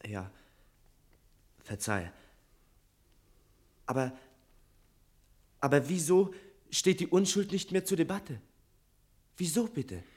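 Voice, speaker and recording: An actor playing an earnest, impassioned young son in a radio play, intense and insistent. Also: male voice, on the high side, big pitch swings, slow pace, pauses often, darkish tone, slightly rough, exaggerated voice. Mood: stunned. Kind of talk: German radio play acting.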